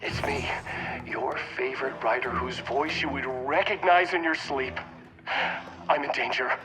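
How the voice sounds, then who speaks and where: An actor playing a self-important famous writer pleading dramatically, with a gravelly voice through a radio effect. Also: male voice, medium pitch, unhurried. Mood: urgent, pleading. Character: famous male writer melodramatically pleading for rescue.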